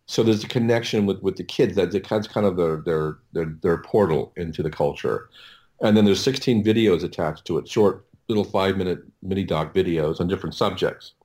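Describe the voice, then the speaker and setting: Very deep-voiced man, halting talker. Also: a little mellow. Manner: halting, often repeats words while explaining. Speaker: interview guest, audio producer. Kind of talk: casual conversational explaining.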